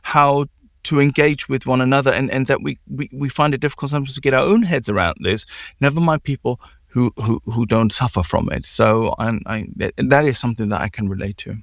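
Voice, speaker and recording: Gentle man hosting, many restarts. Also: mid-range voice, brisk, gravelly, no room sound, clean studio sound. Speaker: podcast host. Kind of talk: empathetic interview.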